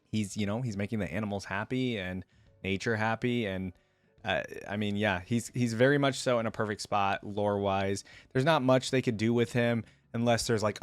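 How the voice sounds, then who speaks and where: A man's voice: on the low side, dry recording. A man hosting a reaction video, giving enthusiastic, rambling, off-the-cuff commentary in a casual way.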